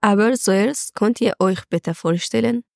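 A woman's voice: medium pitch, measured pace, creaky, a little mellow, no room sound, clean studio sound. A woman hosting a podcast with prepared talk. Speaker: podcast host.

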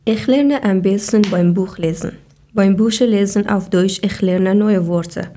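A woman from Afghanistan, a podcast co-host reading prepared answers slowly and deliberately. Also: medium pitch, warm tone.

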